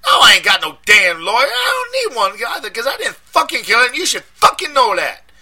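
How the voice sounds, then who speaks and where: A man voicing a scared, frantic young man who has just been jailed, cursing and shouting. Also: high-pitched, very animated, normal speed, pitched above the performer's own voice, exaggerated voice. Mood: panicked. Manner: loud, profane, panicky shouting.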